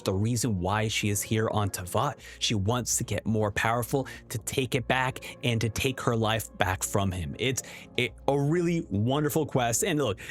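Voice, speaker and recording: A man giving a casual, emphatic video game review as a host. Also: mid-range voice.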